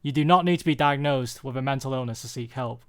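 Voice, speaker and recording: A young British man giving a prepared personal talk, earnest and confessional, with deliberate phrasing. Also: medium pitch, fairly bright tone, clean tone. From UK, born there.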